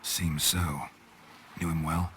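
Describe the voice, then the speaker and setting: A man playing a stoic monster hunter in a fantasy video game, with clipped, laconic, deadpan delivery. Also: a bass voice, slow pace, a little hiss or hum, exaggerated voice. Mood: dry.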